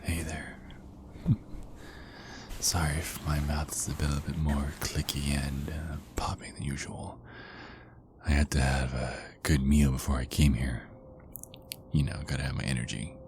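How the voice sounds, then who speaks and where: A man playing an ardent, nervous werewolf boyfriend in an ASMR roleplay, halting and repeating himself as he pleads. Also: very deep voice, flat delivery, background noise. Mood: tender, earnest.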